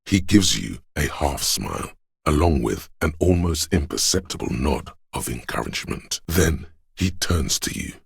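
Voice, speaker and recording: A solemn narrator for a detective video game. The voice is extremely deep, full of gravel and very husky. It sits close and dry, delivering literary narration with measured gravity. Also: male voice, big pitch swings, dry recording, heavily acted. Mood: grave, watchful. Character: solemn inner voice of police camaraderie, narrating. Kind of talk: literary second-person scripted narration.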